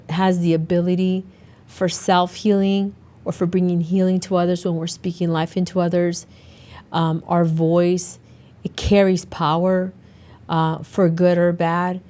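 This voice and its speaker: A middle-aged woman hosts a solo podcast in a slightly low, raspy voice that is still hoarse from being sick. She talks in an earnest, conversational way and often circles back to restart a thought. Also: a little mellow. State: hoarse after a recent illness. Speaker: podcast host and naturopathic doctor. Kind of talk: casual solo podcast talk.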